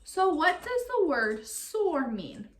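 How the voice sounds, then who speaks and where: A woman reading a picture book aloud like a teacher, expressive and speaking directly to young listeners. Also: very high voice, slow, slight background noise. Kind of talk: read-aloud storytelling with comprehension questions.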